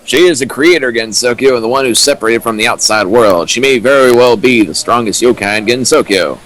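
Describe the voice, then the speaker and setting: A man reading as a calm fanfic guy. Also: medium pitch, fairly quick, natural voice, low-quality recording. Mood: explaining.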